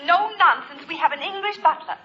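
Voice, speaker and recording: An actress playing a frantic, exasperated middle-aged housewife in a 1950s radio sitcom, urgent and exclamatory, with an American accent. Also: female voice, extremely high pitch, fairly quick, pushed and bright. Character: frantic, exasperated housewife phoning her husband. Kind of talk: 1950s radio sitcom acting before an audience. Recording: dull, echoey old radio broadcast, audience.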